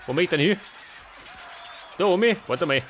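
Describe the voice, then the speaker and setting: A man's voice: sits high, expressive, moderate pace, fairly bright tone, a little hiss or hum. A man with a Bavarian accent giving casual let's play commentary, often muttering questions to himself. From Bavaria, Germany. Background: game music.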